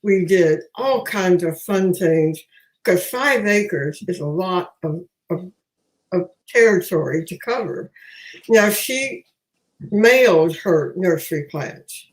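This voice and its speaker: An older woman, a guest gardener, reminiscing conversationally in a measured storytelling way. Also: fairly low pitch, expressive. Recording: roomy, echoing sound.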